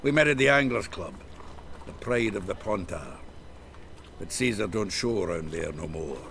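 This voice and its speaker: Deep, gravelly, blunt fantasy rogue. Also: male voice, animated, moderate pace, a bit bright, slight background noise, heavily acted. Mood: blunt, scheming. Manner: blunt, colourful slang. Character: gruff, blunt male fantasy rogue, hot-tempered and earthy. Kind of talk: video game voice acting.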